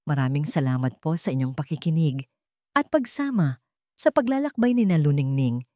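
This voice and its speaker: A woman's voice: deep voice, animated, normal speed, dark tone, no echo, studio quality. A Filipino woman reading a scripted romantic drama story in an earnest, sentimental storyteller's manner. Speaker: storyteller, narrator of an online story channel.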